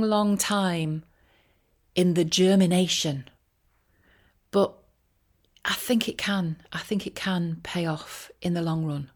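A woman talking casually in a rambling, self-deprecating monologue, with wry, gently ironic asides. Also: medium pitch. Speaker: voiceover artist and career coach sharing a personal update.

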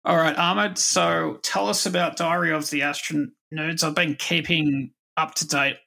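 A man's voice: fairly high pitch, fairly even intonation, a little slow, creaky, sharp tone. A man hosting a podcast in a casual, chatty interview style. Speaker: podcast host.

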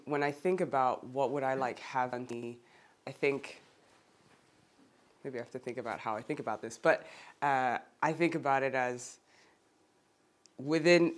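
A man's voice: medium pitch, steady tone, moderate pace. A man in a group discussion, searching for his words, often circling back and correcting himself. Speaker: discussion participant.

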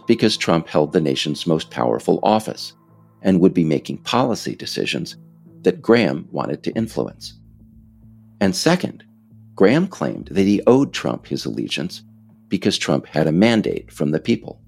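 An American man reading scripted political narration in a measured, emphatic, explanatory way. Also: sits low, creaky, darkish tone, husky. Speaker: author narrating his audiobook episode.

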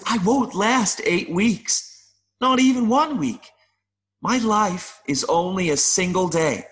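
A man playing an adult male mayfly in an audio play, nervous and earnest, pleading haltingly with little buzzing sounds. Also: high voice, lively intonation, a bit bright, heavily acted. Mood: patient, explaining. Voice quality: buzzing interjections between phrases.

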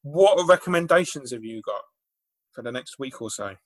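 A man's voice: mid-range voice, lively intonation, moderate pace. A man co-hosting a casual political chat podcast, speaking in a blunt, emphatic way and dropping dry asides. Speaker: podcast co-host and comedian.

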